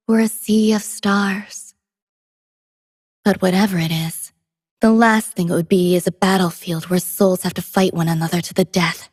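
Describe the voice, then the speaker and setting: A woman playing a gentle, poetic female android companion in a game, soft-spoken and wistful with a breathy voice. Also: mid-range voice, no room sound, clean studio sound, lightly acted. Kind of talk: scripted game character voice lines.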